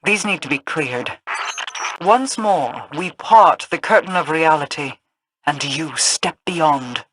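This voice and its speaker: An actress playing a regal, commanding queen of a mystical people in a video game, speaking in a formal, measured manner. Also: low voice, animated, fairly bright tone, slight background noise, exaggerated voice, rough recording. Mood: grave, imperious.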